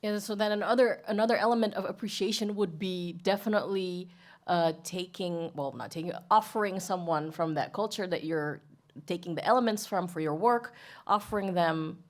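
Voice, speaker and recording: A woman's voice: fairly low pitch, a little fast. A woman moderating a live panel discussion, asking probing questions and giving short affirmations. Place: event venue stage.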